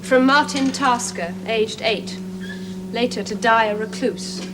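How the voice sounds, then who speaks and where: A woman's voice: on the high side, measured pace, close to an everyday voice. An actress playing an intense, earnest woman scientist with an English accent, insistent and probing, in a 1970s television drama. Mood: earnest, explaining. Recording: noisy, humming old TV soundtrack.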